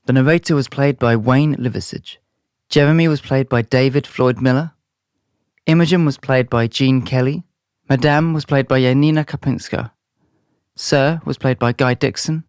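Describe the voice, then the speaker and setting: A man's voice: mid-range voice, moderate pace, darkish tone, clean tone, no room sound, studio quality. A man reading scripted closing credits as an announcer.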